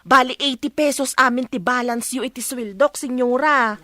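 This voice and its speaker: A woman's voice: high voice, moderate pace, bright, forceful tone, dry recording. A woman playing a talkative, cheeky housemaid with an Ilocano accent in a comedy radio drama. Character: sassy, talkative housemaid, respectful yet cheekily outspoken.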